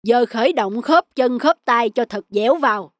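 Cartoon fox, self-styled martial arts master played by a woman: high voice, pompous and bragging, music underneath. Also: lively intonation, normal speed, natural voice. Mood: boastful. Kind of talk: children's cartoon dubbing in Vietnamese.

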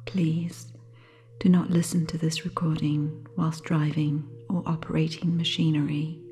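A woman guiding a sleep meditation in a soothing, gentle, unhurried way, with a soft, breathy, half-whispered voice. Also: sits low, little pitch movement, slight background noise. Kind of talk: guided sleep hypnosis meditation, scripted.

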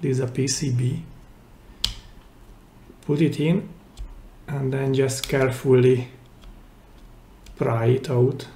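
A man giving a hands-on, step-by-step repair tutorial in simple, careful phrases with pauses mid-sentence, recorded indoors at a workbench. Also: medium pitch, little pitch movement, warm tone, slight background noise. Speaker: DIY repair channel host. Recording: roomy, echoey indoor sound.

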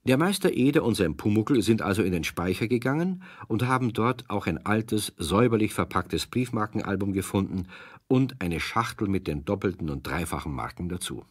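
Low-voiced animated male storyteller. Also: moderate pace, no room sound, studio quality. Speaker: narrator. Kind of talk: scripted children's story narration.

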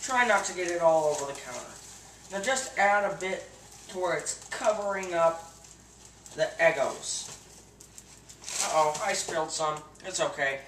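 A teenage boy hosts a joke cooking show in his home kitchen, walking through a silly recipe with straight-faced, earnest instructions. His voice is bright, and the camera mic catches it from a little way off, with the kitchen adding a roomy echo. A faint hum of household ambience sits underneath. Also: a little high, measured pace, long pauses. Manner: earnest instructional tone with absurd steps. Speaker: host of a home cooking parody. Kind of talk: deadpan mock cooking show. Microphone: camera mic across the kitchen. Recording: echoey kitchen, camera a bit far.

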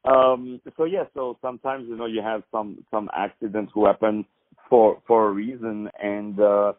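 A man's voice: on the low side, fairly even intonation, moderate pace, dry recording. A man hosting a phone interview, effusive and lavish with praise. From France. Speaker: host. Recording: tinny phone line, dry.

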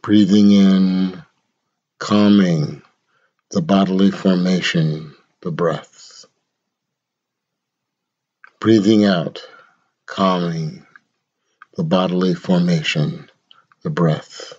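A man leading a guided meditation in a measured, instructional way, speaking in short phrases with long pauses. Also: sits low, fairly even intonation, a little mellow, lo-fi sound.

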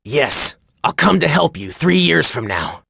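An actor playing a serious, polite young swordsman in an anime game, with a raspy voice and an earnest, courteous manner. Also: fairly high pitch, very animated, a little slow, a bit bright, dry recording, studio quality, exaggerated voice. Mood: earnest, resolute. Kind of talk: English anime game voice acting.

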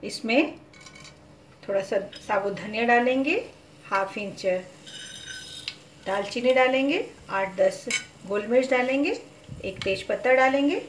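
Calm woman cooking host in roomy kitchen. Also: on the high side, measured pace, long pauses, slight background noise. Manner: calm, instructive, mixes English cooking words into Hindi. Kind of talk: step-by-step recipe narration.

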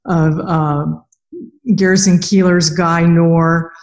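A middle-aged woman with a somewhat low voice hosts an interview. She talks casually and conversationally as she puts questions to her guest. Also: level tone, slow pace, a little mellow. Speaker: podcast host, interviewer. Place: indoor room with plain wall.

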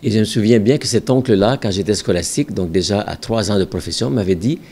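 Calm older man, low mellow voice. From Ontario, Canada. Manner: measured, reflective, with frequent hesitations. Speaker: school director and religious brother. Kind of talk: calm recollecting interview answers. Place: office seated at a desk. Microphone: desk microphone in front.

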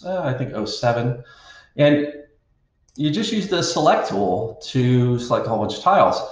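An older man talks through a map tool in a relaxed, plain-spoken way, thinking aloud as he clicks. His voice sits low, and it carries a roomy echo from a small home room. The sound is a little dull, as from a basic desk setup. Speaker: state GIS staff presenter. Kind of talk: casual screen-share tutorial.

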